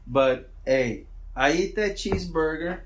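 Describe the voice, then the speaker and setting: A middle-aged man with a naturally loud voice, a school principal answering interview questions in a relaxed, rambling way. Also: on the high side, a little slow, slight background noise.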